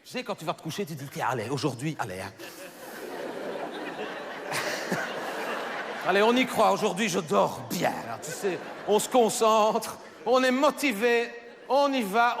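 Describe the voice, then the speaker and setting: A man's voice: high voice, sing-song, a little slow, pauses often, fairly bright tone, in a large hall, full character voice. A man voicing a chatty middle-aged housewife with a Belgian French accent, rambling and stammering through self-corrections in a stand-up monologue. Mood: gossipy. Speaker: comedian.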